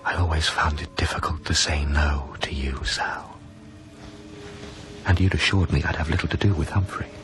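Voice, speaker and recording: An actor playing a grieving, wistful lover, narrating a radio drama in a hushed, measured, brooding manner. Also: male voice, extremely low pitch, soft background music. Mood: regretful. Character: grieving, wistful former lover recounting a haunted past.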